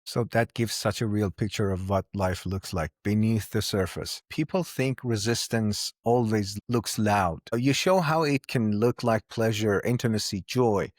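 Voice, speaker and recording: A man hosting an interview, asking measured questions and affirming the guest. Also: fairly low pitch, dry recording, clean studio sound. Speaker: podcast host.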